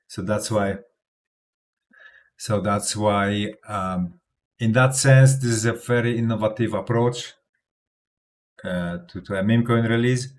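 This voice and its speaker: Host, male, low-ish voice, confident rambling crypto livestream chat. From Poland. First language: Polish. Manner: rambling, self-assured, laughs often, restarts words. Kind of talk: casual livestream commentary.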